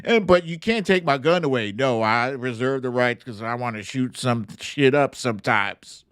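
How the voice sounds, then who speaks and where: A man's voice: medium pitch, expressive, normal speed, fairly bright tone, clean tone, no room sound, studio quality. An American man hosting an online political commentary show, talking casually, bluntly and emphatically, with some swearing.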